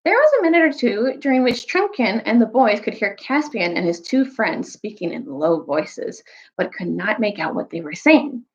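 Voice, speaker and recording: A woman's voice: medium pitch, sing-song, normal speed, highs cut off. A woman reading a children's novel aloud as a storyteller. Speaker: storyteller, teacher.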